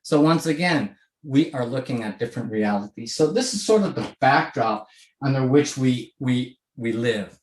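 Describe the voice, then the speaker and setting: Man in his sixties, lively pitch, echoey room. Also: normal speed. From Hong Kong. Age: 60s. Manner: conversational, frequent "okay?" check-ins. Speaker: speaker. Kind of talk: presentation to a virtual audience. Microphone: video call.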